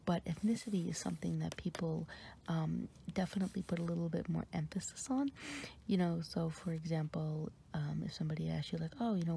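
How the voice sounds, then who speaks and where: A woman from New York with an American accent, softly whispering an ASMR ramble with many fillers and pauses. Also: gravelly, a little hiss or hum. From New York, USA. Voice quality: deep voice for a woman. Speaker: ASMR creator.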